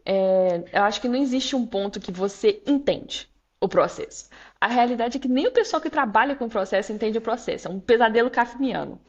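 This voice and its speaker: A woman's voice: on the high side, lively intonation, fairly quick, muffled recording. A young woman with a Minas Gerais accent, a podcast guest talking candidly and reflectively with frequent fillers. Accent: Minas Gerais Portuguese. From Brazil, Minas Gerais. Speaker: guest, law graduate student. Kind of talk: casual interview chat.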